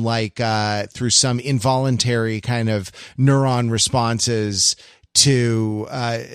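A man co-hosting a podcast, discussing film casually and analytically in a halting way, often repeating words as he works out his ideas. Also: mid-range voice, dry recording, clean studio sound. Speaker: podcast co-host.

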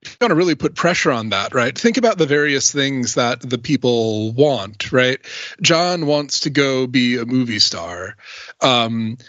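A man's voice: mid-range voice, lively intonation, unhurried, a bit bright, no room sound. Opinionated man, dry and slightly muffled audio. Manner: opinionated, argumentative. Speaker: podcast panelist. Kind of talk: casual discussion.

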